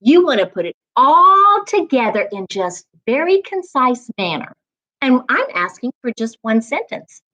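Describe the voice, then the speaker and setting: A woman reading aloud and teaching as a storytime reading coach, with deliberate, emphatic phrasing meant for young listeners. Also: sits high, big pitch swings, sharp tone, low-quality recording. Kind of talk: expressive children's read-aloud and teaching.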